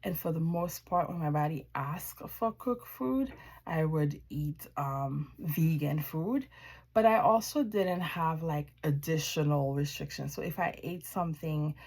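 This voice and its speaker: A woman from Haiti shares a personal testimony with viewers in a reflective, deliberate way, often pausing mid-sentence. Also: deep voice, a little mellow. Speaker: wellness practitioner giving testimony.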